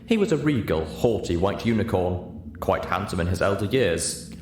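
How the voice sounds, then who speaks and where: A man's voice: sits low, animated, moderate pace, a little mellow. A man reading a first-person story aloud as scripted audiobook narration. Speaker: audiobook reader. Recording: roomy echo, clear background hiss.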